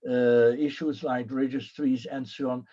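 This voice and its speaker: A man's voice: medium pitch, little pitch movement, measured pace, dark tone. Hesitant man on a muffled call. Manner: hesitant, many fillers and restarts. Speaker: panelist. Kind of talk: conference panel remarks.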